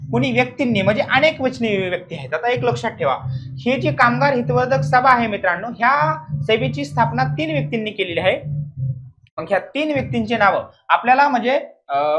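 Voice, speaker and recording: A man's voice: high voice, fairly bright tone. A man teaching an exam coaching lesson, explaining emphatically, repeating key points and often checking understanding with rhetorical questions.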